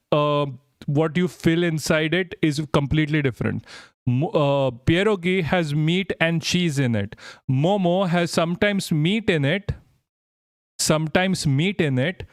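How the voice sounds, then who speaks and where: A man's voice: a little high, unhurried, clean tone, dry recording, studio quality. A man live streaming, chatting casually and reading his chat, rambling and swearing freely as he jokes. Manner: rambling, profane, jokey, reads chat aloud.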